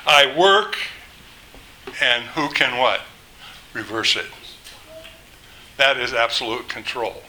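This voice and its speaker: Older male preacher, loud and bright-toned, slow measured sermon delivery, faint noise behind. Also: mid-range voice, expressive, rough recording. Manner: slow, emphatic delivery, rises when quoting scripture. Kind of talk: sermon with scripture reading.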